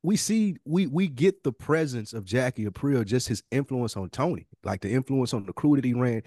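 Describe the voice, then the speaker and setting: A man's voice: mid-range voice, lively intonation, brisk, warm tone, no echo, studio quality. A man co-hosting a podcast in casual conversation, laid-back and slangy in how he talks. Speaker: podcast co-host.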